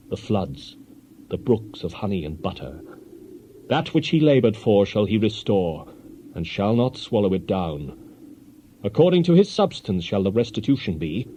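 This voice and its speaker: A man's voice: medium pitch, warm tone, close to an everyday voice. An actor playing a stern ancient man who condemns the wicked in a solemn, declamatory scripture dramatization. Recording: dull lo-fi audio with faint noise.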